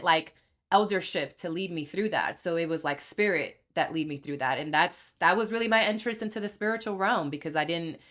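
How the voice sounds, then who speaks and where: A young Dominican-born woman, a podcast guest, telling her story in a candid, animated way and acting out the voices she quotes. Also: fairly low pitch. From Dominican Republic. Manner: animated, acts out quoted voices, laughs easily.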